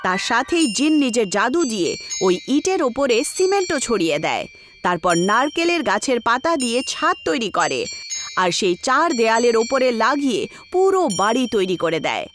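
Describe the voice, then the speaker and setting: Woman narrator for a cartoon story, high pitched, super animated reading, music in the back. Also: normal speed. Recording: music behind the voice.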